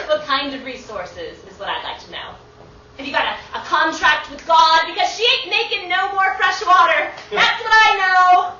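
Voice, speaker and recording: A woman's voice: very high-pitched, expressive, moderate pace, pushed and bright, heavily acted. A woman playing an indignant, suspicious, self-righteous small-time water farmer in a comic stage play. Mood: scornful, challenging. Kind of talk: broad comic stage acting. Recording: distant mic in echoey theatre, dull, faint noise.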